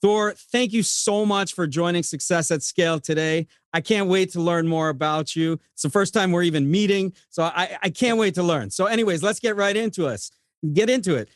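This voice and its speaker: A man's voice: high-pitched, moderate pace, no echo, clean studio sound. A middle-aged man hosting a podcast, speaking in a conversational interviewing style. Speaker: podcast host.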